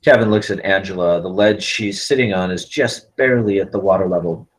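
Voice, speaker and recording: Male narrator for screenplay action lines at a table read, notably low-pitched voice. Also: a little fast. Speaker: stage-direction narrator.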